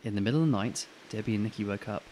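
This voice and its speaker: A man narrating a children's storybook in an expressive way, as a reader who acts out the dialogue. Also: lowish voice, very fast.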